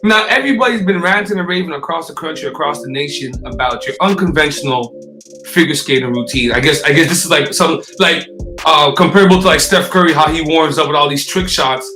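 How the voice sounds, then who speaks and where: Male talk show interviewer, high-pitched hype-man energy, effusive, echoey sound over a music bed. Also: brisk, pushed and bright. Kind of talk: animated celebrity interview.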